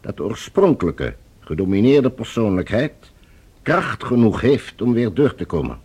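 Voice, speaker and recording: A man playing a cold, suspicious leader of Mars in a science fiction radio drama, with formal, imperious, measured speech. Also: fairly low pitch, big pitch swings, darkish tone, slightly rough, heavily acted. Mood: formal, commanding.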